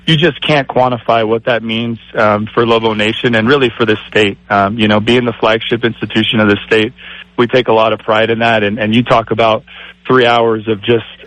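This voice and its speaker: A middle-aged man, an athletic director guest on a radio show, speaking with a raspy voice in a grateful tone with many fillers. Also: lowish voice, a bit bright, no echo, rough recording. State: raspy from screaming. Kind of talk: phone-in radio interview.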